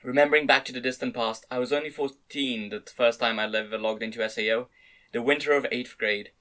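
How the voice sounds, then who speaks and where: A man's voice: medium pitch, steady tone, brisk, sharp tone. A man narrating a first-person story as an amateur dramatic reading. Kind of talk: dramatic reading of fan-read light novel narration.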